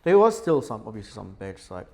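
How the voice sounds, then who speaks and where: A young man who grew up in Syria, a podcast guest telling his life story in a relaxed, chatty way with frequent fillers. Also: on the low side, brisk, gravelly. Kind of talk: casual podcast interview. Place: indoor podcast setup.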